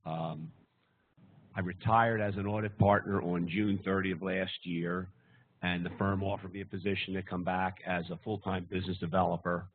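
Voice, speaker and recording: An older man speaks on a business panel, plain and dryly joking. His voice is very deep and husky. The sound is thin and phone-like. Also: flat delivery, moderate pace. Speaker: accountant, business developer. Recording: tinny, lo-fi.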